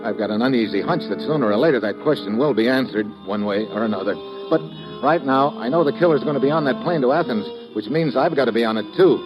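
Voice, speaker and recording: An actor playing a tough, wry American government agent in clipped, sardonic 1950s radio adventure style. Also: male voice, medium pitch, animated, quick pace, lightly acted. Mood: tense, grim. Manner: clipped, sardonic, hard-boiled delivery. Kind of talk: 1950s radio adventure acting with first-person narration. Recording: dull old radio recording, loud music.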